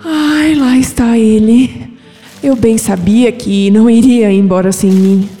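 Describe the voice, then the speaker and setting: Fearful Brazilian heroine of olden times played by a woman, breathy, formal, mellow, period audio drama with music. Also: on the high side, fairly even intonation, takes it slowly, in a large hall. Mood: hopeful, anxious.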